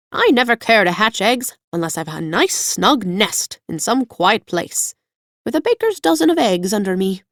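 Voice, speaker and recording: A woman voicing a fussy, proper yellow hen in a storybook reading, with a prim, confiding manner. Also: medium pitch, no echo, studio quality, voice pushed up. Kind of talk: audiobook character voice.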